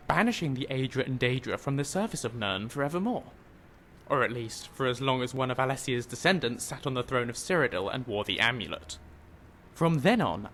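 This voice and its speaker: A man voicing an eager, skeptical scholar in a fantasy game, explaining things in an animated, didactic way. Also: mid-range voice, slight background noise. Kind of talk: fantasy video game dialogue.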